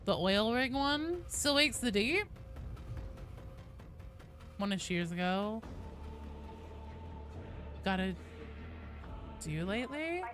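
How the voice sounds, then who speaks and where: Bright-voiced woman streaming, casual and sweary. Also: fairly high pitch, expressive, slow, pauses often, faint music behind. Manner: sweary, informal, reads and answers chat comments aloud. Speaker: game streamer. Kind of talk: casual chat with viewers while gaming. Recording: faint background noise.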